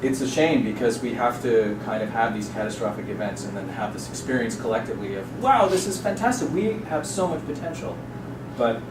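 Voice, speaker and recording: A middle-aged man, speaking earnestly and haltingly as he searches for words in an informal discussion. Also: mid-range voice, expressive. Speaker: panel participant at a theatre discussion. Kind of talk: casual spontaneous discussion. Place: echoey room at a live discussion event. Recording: distant, very noisy, echoey room.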